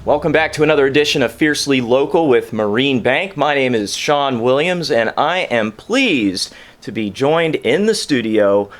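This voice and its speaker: A man's voice: mid-range voice, animated, normal speed, hardly any pauses. A man hosting a studio interview show, talking in an upbeat, chatty way. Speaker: show host. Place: local radio studio.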